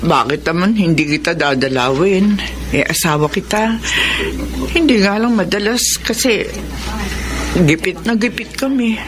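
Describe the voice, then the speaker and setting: A woman's voice: mid-range voice, measured pace, pauses often, close to an everyday voice. An actress playing a weary, long-suffering, loving mother and wife in a radio drama. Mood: resigned. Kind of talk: Tagalog radio drama acting. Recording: noisy radio drama track.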